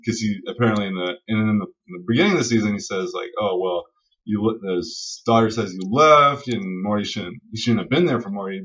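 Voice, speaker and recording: Low-voiced, rambling man, muffled and distant. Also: a bit bright. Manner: rambling, enthusiastic, casual swearing. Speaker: podcast co-host. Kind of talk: casual geek-culture chat. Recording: dull lo-fi audio, distant from mic.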